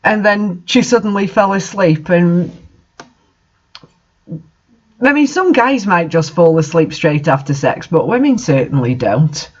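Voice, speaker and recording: A man's voice: high voice, animated, a little slow, long pauses, muffled recording. A man doing a mocking read-aloud of bad fan fiction, with incredulous, sarcastic asides in casual commentary.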